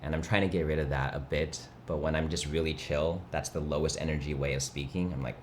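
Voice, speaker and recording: A young man whose first language is Mandarin, chatting casually on a livestream with a nasal, vocal-fry voice and a laid-back, rambling manner. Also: a bass voice, steady tone, a little fast, a little hiss or hum. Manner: laid-back, rambling, reads chat aloud. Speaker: livestream host. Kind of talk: casual chat.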